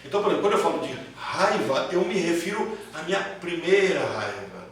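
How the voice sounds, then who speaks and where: Brazilian male teacher, fairly high voice, informal didactic lecture, repetitive phrasing, distant echoey recording. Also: very animated, fairly quick. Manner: didactic, repeats phrases and checks understanding with tag questions. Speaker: teacher of a psychoanalysis class. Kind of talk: informal lecture with questions from students. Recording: echoey room, far mic, clear background noise.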